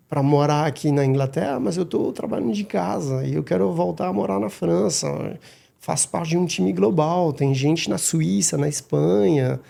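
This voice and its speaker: A middle-aged man, a guest on a podcast, talking casually and haltingly with many restarts and repeated words. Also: a little high, vocal fry, mellow. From Madagascar. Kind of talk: casual interview talk.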